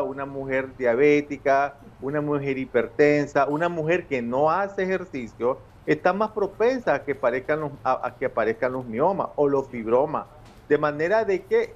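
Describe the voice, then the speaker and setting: A man's voice: on the high side, a little mellow, lo-fi sound. A man in his fifties with a Nicaraguan accent, a gynecologist explaining things patiently on a TV show by video call from home, with many eh fillers. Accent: Nicaraguan Spanish. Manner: explanatory, with frequent eh fillers and restarts. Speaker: gynecologist guest. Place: home office with bookshelves.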